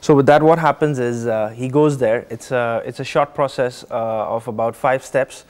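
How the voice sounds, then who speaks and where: A young man representing a company, calmly explaining how its service works in a TV studio interview. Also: mid-range voice, clear, resonant tone. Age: young adult. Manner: measured, explanatory, many "uh" fillers. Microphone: lapel.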